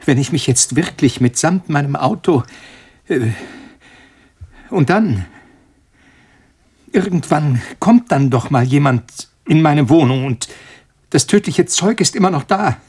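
A cornered molecular biologist in a radio play. His voice is fairly high for a man and rough-edged, halting and agitated as he repeats himself under pressure. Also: lively intonation, a little mellow. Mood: frantic, demanding. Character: cornered, panicking male molecular biologist pleading with his tormentor. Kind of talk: radio drama acting.

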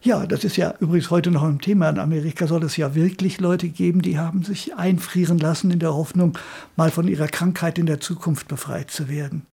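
An older man with a gravelly, husky voice reminiscing enthusiastically in a casual interview. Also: on the high side, steady tone, a little fast, warm tone. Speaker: retired radio drama director and editor.

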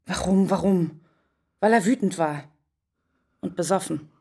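Husky, defensive woman. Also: on the low side, a little slow, mellow, heavily acted. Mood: defensive, irritated. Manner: curt, evasive. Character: defensive, wounded wife under police questioning. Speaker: radio drama actor. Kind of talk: naturalistic radio drama dialogue.

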